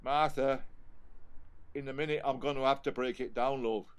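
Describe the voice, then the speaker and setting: A man's voice: medium pitch, steady tone, moderate pace, smooth, close to an everyday voice. A man playing a working-class husband in an audio play, with a colloquial, homely, coaxing way of talking. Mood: anxious coaxing.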